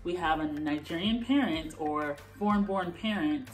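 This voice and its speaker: A young woman born in Canada and raised in the US, talking casually to the camera from her bedroom, animated and gesturing as she explains. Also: deep voice, moderate pace, soft background music, rough recording. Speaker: vlogger. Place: bedroom at home, indoors.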